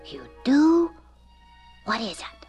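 A woman's voice: high-pitched, very animated, takes it slowly, darkish tone, muffled recording, soft background music, exaggerated voice. A woman voicing an innocent, eager little boy bear in a children's story, exclaiming with wonder. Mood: surprised. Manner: wide-eyed, exclaiming.

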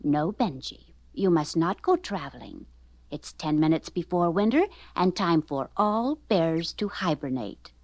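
A woman voicing a loving, gently firm mother bear in a storybook, patient and soothing. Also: on the low side, animated, measured pace, no room sound, pitched below the performer's own voice.

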